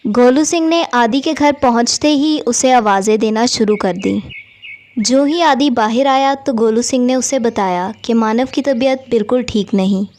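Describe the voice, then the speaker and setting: A woman's voice: fairly high pitch, a little slow, darkish tone, slight background noise. A woman reading scripted narration for a children's cartoon story.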